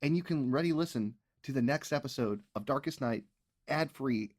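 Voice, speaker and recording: A man's voice: medium pitch, normal speed. A man hosting a podcast, giving a casual, bantering sponsor promo. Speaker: podcast creator and host reading a sponsor promo.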